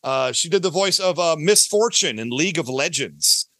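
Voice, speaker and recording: A man's voice: fairly high pitch, expressive, normal speed, sharp tone, no echo. A middle-aged man chatting casually as a podcast guest, rambling and emphatic in an enthusiastic, gushing way. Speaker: podcast guest, audio drama creator. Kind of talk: casual, rambling interview chat.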